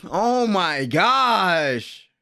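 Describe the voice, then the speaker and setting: A young man doing excited live reaction commentary, slangy and exclamatory, repeating words, on a close mic in a small room at home. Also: sits high, drawn-out words, clean tone. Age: young adult. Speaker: anime reaction host. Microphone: close desk microphone with headset on.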